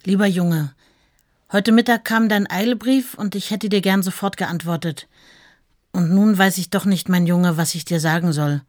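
Actress as devoted writer's wife, self-possessed and gently firm, measured radio-drama delivery, dry close sound. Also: female voice, on the low side, steady tone, no room sound, lightly acted. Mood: tender restraint. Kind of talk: German radio play, first-person recollection and letters.

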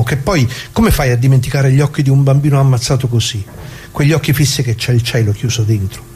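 An actor playing an anguished, plain-spoken ordinary man who muses haltingly and repeats himself in a theatrical radio monologue. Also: medium pitch, warm tone, slight background noise, lightly acted. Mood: pained, reflective. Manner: halting, musing, rhetorical questions, repeats himself.

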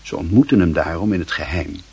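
A man with a husky voice reading scripted literary narration for a radio play. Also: low voice, fast talker, darkish tone.

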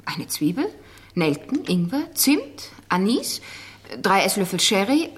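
An actress playing a prickly, defensive young gentlewoman in a radio play, husky and curt. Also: mid-range voice, very animated, a little slow, slight background noise. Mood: guarded. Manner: curt, guarded.

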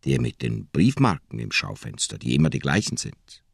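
Big loyal policeman, very deep creaky voice, simple-hearted, deferential, radio drama. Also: very animated, moderate pace, no room sound, studio quality, full character voice. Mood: puzzled.

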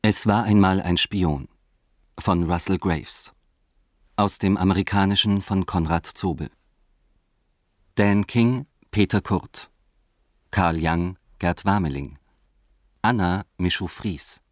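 A deep male voice reads scripted credits slowly and formally. The announcer is measured, recorded close and dry with no room sound. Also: dry recording.

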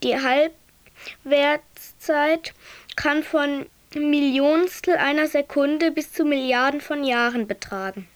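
A woman playing a detached, deliberate glossary voice that reads definitions formally in a radio play. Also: sits high, no echo.

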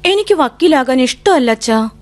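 An actress playing a bookish, wistful young woman with quiet defiance, in an introspective, dramatic radio drama style. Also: sits high, lively intonation, moderate pace. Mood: pleading. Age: young adult. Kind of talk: radio drama acting with monologue and poetic recitation.

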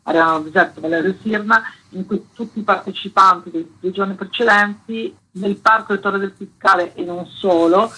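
A woman's voice: on the low side, fairly bright tone, husky, poor audio. A woman explaining a programme in detail as a guest in an informal radio interview. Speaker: guest presenting an arts project.

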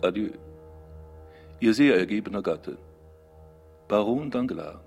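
Slippery banker baron, theatrical and puffed up, deepish voice, old-fashioned radio drama. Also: male voice, lively intonation, unhurried, creaky, darkish tone, soft background music. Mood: coolly ironic. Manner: formal, theatrical. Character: pompous self-pitying banker baron, slippery and money-proud. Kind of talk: German radio drama acting.

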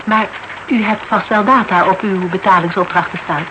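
A woman's voice: medium pitch, steady tone, normal speed, lightly acted. An actress playing a cool, shrewd woman in a Dutch radio drama, speaking in a dry, businesslike, probing way. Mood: formal, probing. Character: cool, shrewd woman posing as insurance investigator. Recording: noisy old radio drama recording.

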